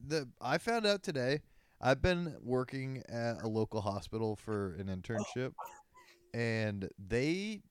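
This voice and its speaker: Smooth-voiced crude young podcast co-host. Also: male voice, medium pitch, no room sound, studio quality. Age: 20s. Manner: crude, joking, laughs often. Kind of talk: casual chat.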